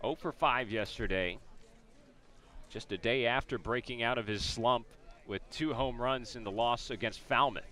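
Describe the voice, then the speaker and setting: A man with an American accent calling a baseball game as a radio play-by-play announcer, describing each pitch and the setting. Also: normal speed, sharp tone. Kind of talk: live sports commentary, descriptive radio play-by-play.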